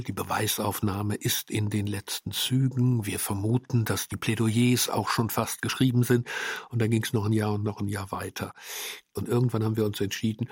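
A man's voice: on the low side, breathy edge, dry recording, clean studio sound. A man giving an explanatory interview as one of the makers of a radio production.

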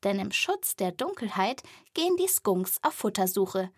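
A woman presenting a children's podcast as an animal reporter, in lively scripted narration addressed to young listeners. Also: mid-range voice, measured pace, husky, no echo, clean studio sound.

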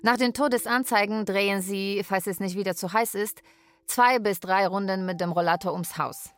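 A woman playing a carer who narrates a daily routine in a radio drama, measured and matter-of-fact. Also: medium pitch, lightly acted.

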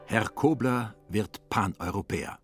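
A man narrating a literary radio play in a wry, deliberate manner with theatrical emphasis. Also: on the low side, over music.

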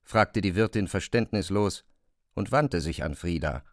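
A man's voice: low-pitched, lively intonation, a little slow. A man reading scripted literary narration for a radio drama.

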